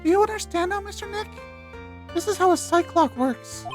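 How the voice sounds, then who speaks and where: A performer voicing a sweet young female sidekick who gently gives advice in a video game. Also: extremely high pitch, lively intonation, moderate pace, faint music behind, voice pushed up. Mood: gentle, advising.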